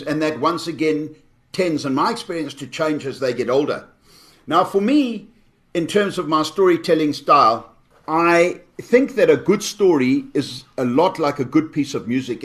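A man, a guest storyteller who speaks in a measured, articulate way in a radio interview. He has a strong voice. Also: fairly high pitch.

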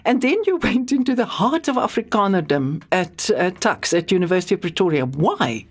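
A podcast host asking curious, lively questions. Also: medium pitch, moderate pace. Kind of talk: interview questions.

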